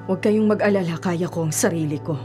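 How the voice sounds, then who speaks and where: A woman acting a weary older Filipino mother in a radio drama. Her voice sits fairly low, plaintive and long-suffering, with clear music playing behind her. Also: fast talker, mellow, close to an everyday voice. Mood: hurt, resigned. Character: self-sacrificing, ailing older mother, a weary schoolteacher.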